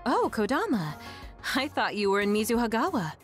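A woman's voice: on the high side, sing-song, normal speed, soft background music. Poised, lilting female squad leader. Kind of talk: anime video game dub acting.

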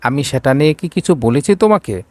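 A man acts out a bossy, impatient young tycoon from a romantic audio story. He talks in terse commands at a medium pitch, recorded dry and close. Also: animated, a little fast, darkish tone, dry recording, close to an everyday voice. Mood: businesslike. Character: curt, bossy rich young businessman.

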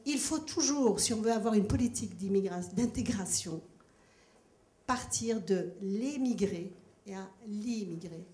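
Middle-aged woman making her point from the audience at a debate, soft mellow tone but emphatic, big echoey room. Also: mid-range voice. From born in Kabylie, Algeria, grew up in France from age nine. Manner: earnest, emphatic, repeats phrases for emphasis. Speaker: audience member questioning a speaker at a public debate. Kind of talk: spontaneous formal intervention at a public debate. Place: large ornate hall with seated audience, indoors. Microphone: handheld microphone. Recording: echoey hall with clear background noise.

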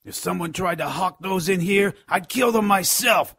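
Scrawny, resentful, excitable imp fence, higher-pitched and gravelly, radio drama creature role. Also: male voice, moderate pace, no room sound, studio quality, heavily acted. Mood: grave warning. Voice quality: gravelly, raspy. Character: scrawny, smelly male imp fence, spiteful and cowardly. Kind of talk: radio drama character acting.

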